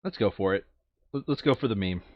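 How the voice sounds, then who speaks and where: A man's voice: lowish voice, lively intonation, a little fast, dry recording, lo-fi sound. A man chatting casually as a player planning a board game session, thinking aloud and repeating his phrases.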